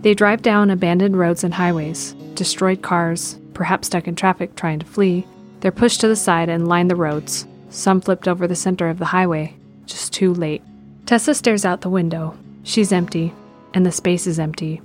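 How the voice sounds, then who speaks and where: A woman's voice: lowish voice, steady tone, moderate pace, few pauses, soft background music. A woman narrator reading scripted present-tense narration for an audio drama.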